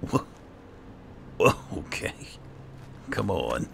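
A man with a gravelly voice giving casual, low-key commentary while playing a fantasy game, musing aloud as he goes. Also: very animated, very slow, noisy background. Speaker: gaming host. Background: game ambience.

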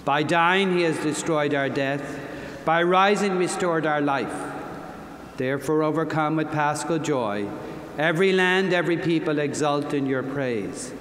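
A man's voice: on the high side, fairly bright tone. Solemn older man praying in echoey hall. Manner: solemn, measured intoning. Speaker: presiding bishop. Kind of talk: liturgical prayer at Mass. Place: large convention hall stage. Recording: echoey hall, faint noise.

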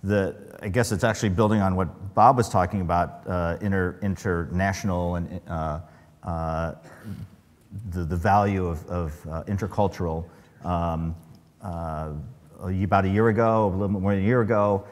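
A man with a very low voice, thinking aloud as an astronaut panelist. He restarts his sentences often and gropes for words. His voice echoes in a large hall with a long reverb. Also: steady tone, moderate pace. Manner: reflective, many fillers and restarts. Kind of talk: panel talk. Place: large event hall, on stage.

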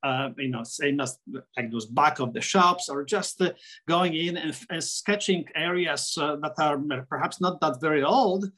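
A man's voice: a little high, moderate pace, bright, forceful tone. A middle-aged man from Poland with a Polish accent, talking as a guest on a video call interview, with many restarts and fillers. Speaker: interview guest, architect and sketcher. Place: small room at home, framed pictures on wall. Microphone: laptop webcam on video call.

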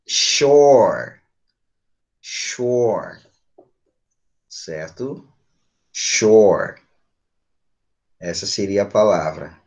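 A man's voice: fairly low pitch, lively intonation, drawn-out words, long pauses, a little mellow, lo-fi sound. Brazilian man teaching English online. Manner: frequent checking tags, patient step-by-step explaining. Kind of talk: online lesson, explaining and switching between Portuguese and English. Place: small room at home or study with bookshelves. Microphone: computer mic on a video call.

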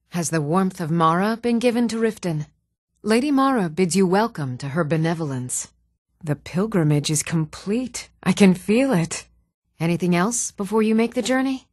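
A woman's voice: mid-range voice, dry recording, natural voice. Casting: actress for composed fantasy game woman of rank, courteous formal delivery, dry studio sound. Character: composed, polite fantasy townswoman, steward or priestess. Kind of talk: fantasy game character dialogue.

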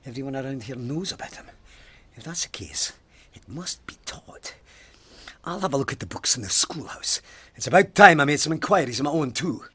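Stubborn, intense police sergeant, very dynamic. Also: male voice, mid-range voice, animated, a little fast, a bit bright, husky. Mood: determined. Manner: intense, formal, demanding. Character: pious, stubborn, haunted male police sergeant. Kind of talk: audio drama acting. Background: music.

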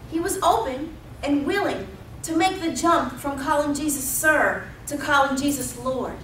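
A woman's voice: sits high, measured pace, fairly bright tone, far from the mic, slight background noise. A woman in her thirties preaching a sermon as pastor, telling the story with emphatic repetition. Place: church sanctuary. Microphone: pulpit mic at a distance. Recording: roomy church sound from off-mic.

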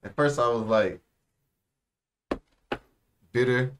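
A man's voice: mid-range voice, slow, a little hiss or hum. A young man co-hosting an anime reaction show, chatting in a laid-back, slangy way. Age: young adult. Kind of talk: casual reaction chat. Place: small indoor room, seated.

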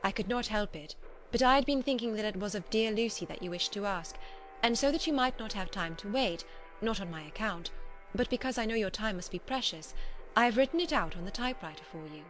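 Modest young Victorian lady as a woman narrator plays her, demure, well-mannered, gentle, storybook reading with music behind. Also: mid-range voice, lively intonation, a little fast, close to an everyday voice. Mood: polite, apologetic.

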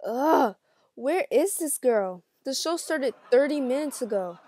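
A woman playing a hurt, suspicious high school girl with dramatic, sassy teen talk in an amateur animated drama. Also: unhurried, music in the background. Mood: annoyed. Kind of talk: amateur voice acting for an animated mini movie.

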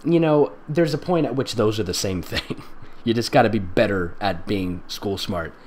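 A man's voice: fairly low pitch, moderate pace, a little mellow, a little hiss or hum. A man doing a comedic fan fiction reading with sarcastic, exasperated, theatrical commentary. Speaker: voice actor and fan fiction reader.

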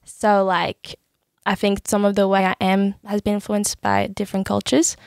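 A woman's voice: medium pitch, monotone, normal speed, vocal fry, no room sound, clean studio sound. A young woman from Switzerland with a Swiss French accent, chatting as a guest on a podcast, laughing often and trailing off with "yeah". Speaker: guest singer-songwriter. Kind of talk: casual podcast chat.

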